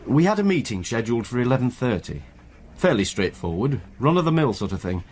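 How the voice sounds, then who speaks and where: An actor playing a polite, evasive accountant in a radio drama, fussy, apologetic and hedging. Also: male voice, medium pitch, very animated, fairly quick, darkish tone, natural voice. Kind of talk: naturalistic radio drama acting.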